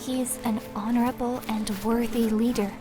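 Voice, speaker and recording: A man voicing a stern, honorable female ninja master in a fantasy story, with a firm, sharp, admonishing manner. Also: very high voice, little pitch movement, normal speed, pitched above the performer's own voice. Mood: insistent.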